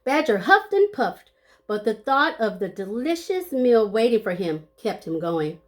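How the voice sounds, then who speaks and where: A woman with a fairly high voice reads a children's picture book aloud. Her pitch moves in big, lively swings and her pace is very slow. She gives the sound words dramatic weight and adds short asides to young listeners. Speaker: storybook reader.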